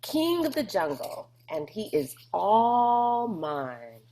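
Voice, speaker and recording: A woman voicing a gruff, boastful, bullying man in a storybook, with a folksy, mocking swagger. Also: mid-range voice, sing-song, slow pace, mellow, voice pushed up.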